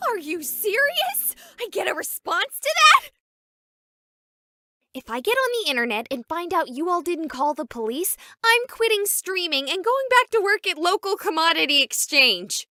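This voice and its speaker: A woman playing a plucky, stubborn young streamer in a visual novel, sarcastic and excitable in her delivery. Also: extremely high pitch, normal speed, dry recording, studio quality, full character voice. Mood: exasperated. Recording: music behind the voice.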